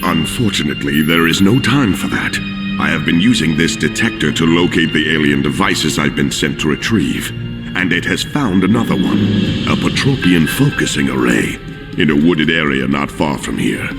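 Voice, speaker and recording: An actor playing a courteous, solemn alien ally in a video game, speaking in a measured, formal way. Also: male voice, extremely low pitch, lively intonation. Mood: calm, formal. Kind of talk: video game cutscene acting. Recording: long reverb, music behind.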